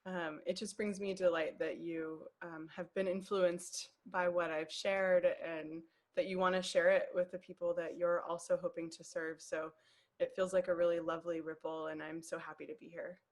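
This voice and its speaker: A woman in her late thirties with an American Pacific Northwest accent, talking as an interview guest in a warm, reflective way. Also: on the low side, level tone, moderate pace. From United States, Seattle. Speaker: guest, emotional intelligence coach. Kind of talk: conversational interview.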